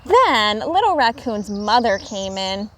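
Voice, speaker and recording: A woman teacher reading a children's storybook aloud in an expressive, deliberate way. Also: mid-range voice.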